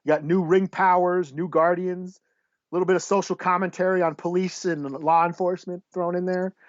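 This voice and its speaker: A man reviews comics in a casual, rambling way. He swears freely and pauses to search for names. The recording is dry and a bit dull. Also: high voice, level tone, dry recording. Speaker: podcast host. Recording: slightly dull, dry lo-fi audio.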